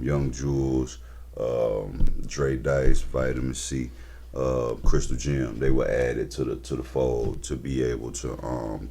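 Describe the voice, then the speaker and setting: Very deep-voiced man in his thirties. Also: darkish tone. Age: 30s. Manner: laid-back, slangy, many fillers. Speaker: record label owner and rapper guest. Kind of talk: casual interview chat.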